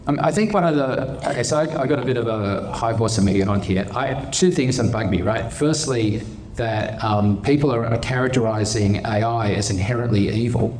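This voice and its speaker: A man speaking on a panel at a live conference event, making his case with conviction and everyday analogies. His voice is mid-pitched and natural. The live recording carries a faint wash of background noise. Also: normal speed, darkish tone. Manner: opinionated, uses everyday analogies.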